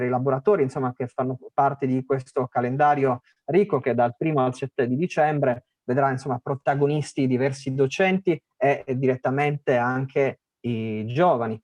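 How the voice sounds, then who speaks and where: A man's voice: medium pitch, a little mellow, dry recording. A man with a Sardinian Italian accent presenting a radio festival, talking casually with many fillers and restarts. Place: remote online call.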